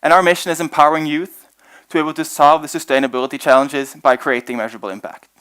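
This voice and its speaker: A man's voice: a little high, steady tone, a bit bright, clean tone. A young man presenting his organization on stage to an audience, earnest and full of "uh" fillers. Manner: fast, earnest, many "uh" fillers. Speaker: organization representative presenting at event.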